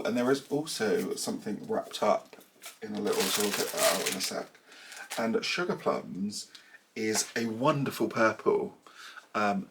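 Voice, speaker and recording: A man with a southern English accent chats away to camera at home in a rambling, self-deprecating way. His voice sits fairly low and sounds a little nasal and bunged up. A faint hiss runs under the camera-mic recording. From southern England. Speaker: knitting vlogger. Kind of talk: casual vlog chat to camera. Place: small cluttered room at home, indoors.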